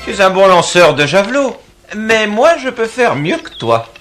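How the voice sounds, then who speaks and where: A man's voice: sits high, sing-song, moderate pace, over music. An actor playing a clever, inventive cave man in a cartoon dub, confident and teasing.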